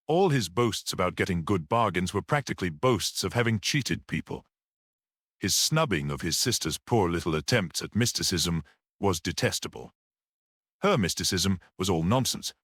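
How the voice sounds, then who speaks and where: A man reads as a little priest detective from a classic mystery audiobook. He is composed and philosophical, reasoning in measured phrases with a lively rise and fall, recorded close and dry. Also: on the low side, dry recording.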